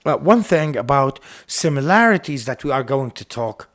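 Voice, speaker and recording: A man's voice: mid-range voice, very animated, moderate pace, a bit bright. A man from Iraq whose first language is Arabic, speaking English with an Arabic accent, co-hosting a casual chat with many fillers and restarts. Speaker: podcast co-host. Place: lives in the United States.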